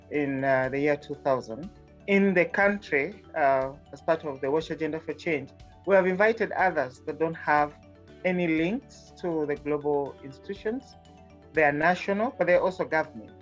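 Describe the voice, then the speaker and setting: A woman with a very low voice talks in a measured, explanatory way as a country director. The recording is dull and lo-fi, with soft music behind her. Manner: measured, explanatory, some fillers. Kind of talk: recorded video statement.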